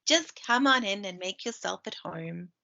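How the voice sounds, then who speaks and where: A woman voicing a busy, grumbly but soft-hearted female hedgehog in a children's storybook. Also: mid-range voice, animated, a little slow, gravelly, heavily acted. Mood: giving in. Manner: fussy, grumbling. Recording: dull lo-fi screen-share recording.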